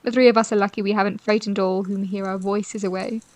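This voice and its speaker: An actress playing a wistful, overlooked young sister in a period audio drama, with polite, formal and expressive speech. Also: female voice, medium pitch, quick pace, lightly acted. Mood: resigned, bitter. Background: music.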